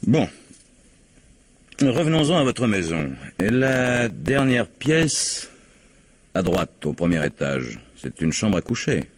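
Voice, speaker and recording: An actor playing an insistent, skeptical police investigator in a radio mystery, probing methodically with formal politeness. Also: male voice, fairly low pitch, very animated, takes it slowly, breathy edge, no room sound, heavily acted. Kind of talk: French radio mystery drama acting.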